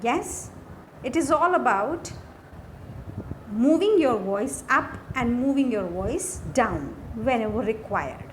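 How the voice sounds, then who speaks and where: A woman with an Indian accent giving a scripted lesson as a lecturer, deliberate and instructional, phrase by phrase. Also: fairly high pitch, animated, poor audio. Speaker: English lecturer. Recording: faint background noise.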